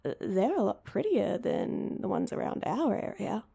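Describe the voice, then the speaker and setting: A woman's voice: mid-range voice, sing-song, moderate pace, gravelly, mellow, slightly rough, exaggerated voice. A woman playing an awkward, heartbroken young woman in an intimate roleplay audio drama, speaking hesitantly and with self-deprecation. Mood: flustered, awkward.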